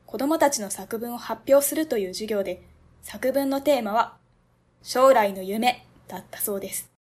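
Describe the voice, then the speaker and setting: A woman's voice: a little high, a little mellow, natural voice. A woman playing a polite ward office clerk. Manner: polite, earnest, explaining.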